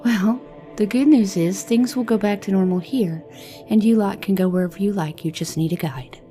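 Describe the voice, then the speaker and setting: A woman's voice: mid-range voice, moderate pace, dark tone, faint music behind, lightly acted. A woman acting understated, dry, battle-worn heroes in a fantasy audio drama. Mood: wry.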